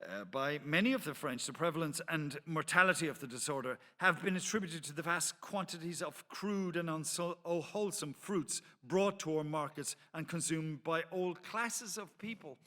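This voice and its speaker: A man's voice: a little high, normal speed, hardly any pauses, pushed and bright. A man reading as an authoritative, censorious 18th-century pamphleteer, in a formal, emphatic and occasionally stumbling dramatic delivery. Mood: measured, expository. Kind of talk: dramatic reading of a historical account. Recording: reverberant hall.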